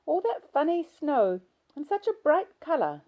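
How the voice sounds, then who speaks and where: A woman's voice: high voice, very animated, a little slow, dark tone, voice pushed up. A woman voicing a middle-aged hospital patient who talks in a whiny, childish, pleading way. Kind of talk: audiobook character voice.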